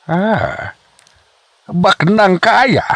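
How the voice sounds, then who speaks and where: A man playing a suspicious father questioning a suitor in an Ilocano comedy drama, with slow, drawn-out speech and an Ilocano accent. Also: sits high, very animated, gravelly, a bit bright, no echo, heavily acted. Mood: nosy, relenting. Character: suspicious father receiving his daughter's suitor, drawling.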